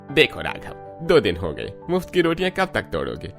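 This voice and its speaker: A heartless, money-grubbing cartoon landlord. His delivery is wildly animated and forceful, full of scornful bullying, with faint music underneath. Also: mid-range voice, moderate pace, creaky, exaggerated voice. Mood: scornful, demanding. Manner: brash, taunting, bullying. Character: greedy, heartless male landlord relative, loud and scornful. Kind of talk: Hindi cartoon story voice acting.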